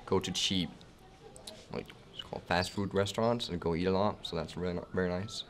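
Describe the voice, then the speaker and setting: A man's voice: low voice, a little fast. A teenage boy from Denmark, an exchange student, answering hesitantly in a school TV interview. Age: teens. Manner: hesitant, searching for words.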